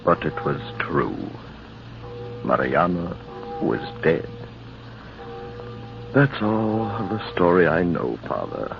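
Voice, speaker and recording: A man acting a loyal, sorrowful friend with a solemn, measured delivery in an old-time radio drama. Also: low voice, sing-song, creaky, over music, heavily acted. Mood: mournful. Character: sorrowful loyal friend of a captain, recounting tragedy. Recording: muffled, noisy old radio recording.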